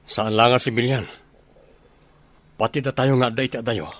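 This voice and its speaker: A man's voice: mid-range voice, steady tone, moderate pace, fairly bright tone, natural voice. A man playing an earnest, concerned wartime medical helper with an Ilocano accent in a radio drama. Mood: urgent, concerned. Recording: faint background noise and ambience.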